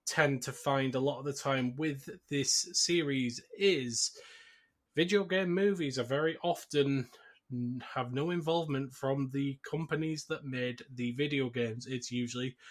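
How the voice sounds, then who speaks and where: A man's voice: medium pitch, measured pace. A man hosting a podcast, talking through a film in a casual, chatty style. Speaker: podcast host.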